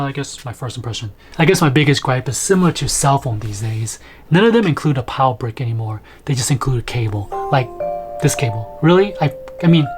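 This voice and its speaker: A man in his thirties giving casual, conversational unboxing and setup commentary as he thinks aloud in a room at home. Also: on the high side. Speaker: video host, product reviewer. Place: small home room, indoors.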